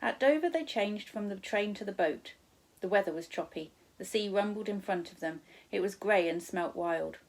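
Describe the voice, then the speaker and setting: Husky, creaky-voiced woman reading a story. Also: mid-range voice, lively intonation, moderate pace, low-quality recording. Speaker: teacher reading a children's novel aloud. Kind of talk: storybook narration, read aloud.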